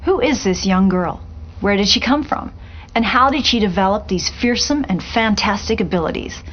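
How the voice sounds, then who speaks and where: A woman playing a polished television reporter in a video game, delivering a grave special report in a measured, articulate way. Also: medium pitch, animated, a bit bright, muffled recording. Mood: intrigued, dramatic.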